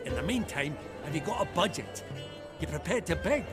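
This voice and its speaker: An actor playing a chummy, wealthy gentleman in a game, teasing and familiar in manner. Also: fairly high pitch, very animated, normal speed, music in the background. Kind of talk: dramatic game dialogue.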